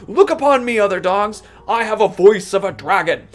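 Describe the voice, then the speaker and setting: A storyteller voicing a small, proud farm dog who declaims grandly, slow and a bit raised. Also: mid-range voice, big pitch swings. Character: small proud dog dreaming of being a dragon.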